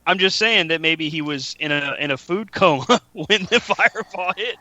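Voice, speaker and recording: A man's voice: fairly high pitch, expressive, moderate pace, bright, forceful tone, no echo. A man hosting a wrestling podcast, chatting casually, joking and laughing at himself. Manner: joking, laughs often, self-mocking. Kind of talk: casual chat. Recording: dull lo-fi podcast audio.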